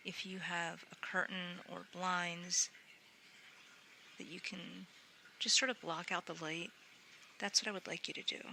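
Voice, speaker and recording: A woman guiding a sleep meditation in a gentle, soothing, unhurried way, often softening into breathy near-whispers. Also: on the low side, slight background noise. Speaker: meditation guide.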